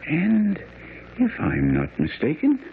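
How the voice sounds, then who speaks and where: An actor playing a brilliant, self-assured detective, explaining precisely and didactically in a radio drama. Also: male voice, on the high side, huge pitch swings, slow pace, vocal fry, heavily acted. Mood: keen, instructive. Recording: muffled, noisy old radio recording.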